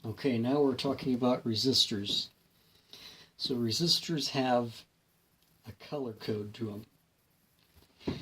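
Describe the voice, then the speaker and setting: A man's voice: medium pitch, takes it slowly, pauses often, a bit bright. A man hosting a hands-on repair tutorial in an informal, folksy way, explaining step by step and thinking aloud. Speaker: host of a hobby electronics repair series. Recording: clear background noise, homemade video.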